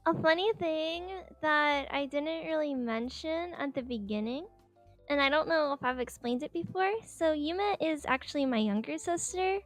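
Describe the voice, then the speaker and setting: A woman with a high, light voice chatting through a speedpaint voice-over. She rambles, giggles often and fills her sentences with "like". Soft music plays faintly behind her. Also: moderate pace. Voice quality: speaks higher than her normal voice on mic. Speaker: artist narrating a speedpaint. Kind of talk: casual voice-over commentary. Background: soft background music.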